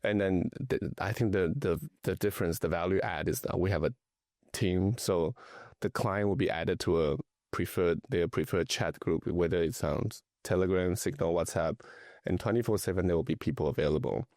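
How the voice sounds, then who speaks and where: A middle-aged man from Taiwan talks casually as a guest, his low voice edged with gravel. He pauses often and restarts his thoughts. The recording is dry and close, with no room sound. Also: a little mellow, no room sound. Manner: hesitant, many fillers and restarts. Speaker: luxury travel and lifestyle advisor, guest. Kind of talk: casual conversational interview.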